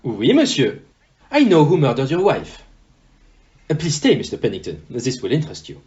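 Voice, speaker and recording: A man's voice: medium pitch, wildly swooping pitch, darkish tone. Guy playing a fancy detective, super sing-song delivery, polite and self-assured, kinda dull recording. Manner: courteous, deliberate, pompous. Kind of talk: amateur audio play acting.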